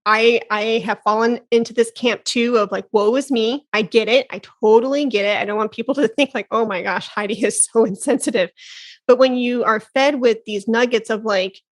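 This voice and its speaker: A woman's voice: on the high side, steady tone, moderate pace. A woman in her thirties hosting a podcast, chatting casually and exclaiming often with laughs. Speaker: podcast host. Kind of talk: casual interview chat.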